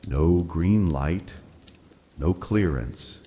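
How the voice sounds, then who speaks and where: A man narrating a scripted bedtime story in a calm, soothing, dramatic way. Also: sits low, extremely slow, long reverb tail.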